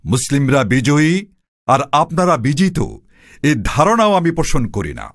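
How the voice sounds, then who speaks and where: A man voicing a conquering general who makes a formal, measured proclamation to the people. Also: a little high, sing-song, dry recording, full character voice. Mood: solemn. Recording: voice over background music. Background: music.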